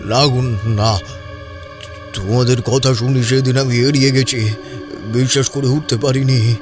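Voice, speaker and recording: Casting: shaken middle-aged sceptic husband acted by a man, halting delivery, medium pitch, radio-play style. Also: steady tone, music in the background, lightly acted. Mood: frightened, shaken. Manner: hesitant, stammering. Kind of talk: audio drama acting.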